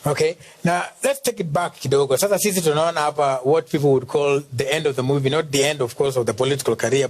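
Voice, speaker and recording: A man with a Kenyan accent hosting a TV talk show interview, chatty and quick to laugh and agree. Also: mid-range voice, slight background noise. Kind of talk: casual interview.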